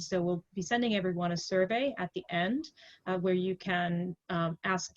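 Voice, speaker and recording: A woman's voice: mid-range voice, highs cut off. A woman hosting and introducing a webinar over a video call, making announcements in a chatty way with frequent ums and uhs. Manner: chatty, filler-heavy, friendly announcements. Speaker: webinar host.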